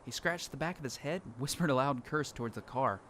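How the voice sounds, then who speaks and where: A man's voice: mid-range voice, fast, fairly bright tone, slight background noise, lightly acted. A man playing a lone apocalypse survivor who tells his story in earnest, dramatic first-person narration for an audio drama. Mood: reflective. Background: music.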